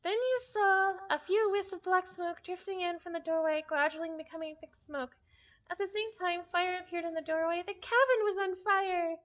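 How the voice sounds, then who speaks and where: Woman, very high-pitched and bright, live story reader who trips on words and repeats them; lo-fi sound. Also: moderate pace. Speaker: visual novel reader, streamer. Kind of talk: live read-aloud of a story with casual asides.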